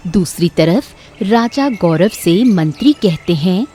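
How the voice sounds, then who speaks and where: A woman's voice: fairly low pitch, expressive, takes it slowly, dark tone, faint music behind. A woman narrator reading a scripted moral story for children.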